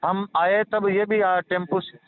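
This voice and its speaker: A man's voice: sits high, little pitch movement, unhurried. A man explaining and reassuring in a rambling, repetitive, earnest way during a casual phone conversation. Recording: tinny phone call with faint noise.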